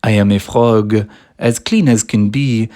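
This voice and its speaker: A man voicing an eager, polite male frog in a children's storybook, croaky and emphatic. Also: fairly low pitch, unhurried, dark tone, natural voice.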